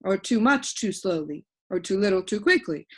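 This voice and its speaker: A woman's voice: mid-range voice, animated, normal speed, fairly bright tone. A woman putting on a pompous, fickle bug courtier. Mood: distressed, wheezy. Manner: flowery, repetitive, emphatic speech.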